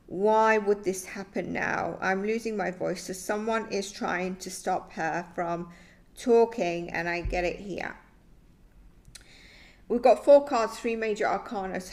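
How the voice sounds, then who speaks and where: A woman giving a psychic tarot reading, thinking aloud in a tentative, musing way that often trails off. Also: mid-range voice.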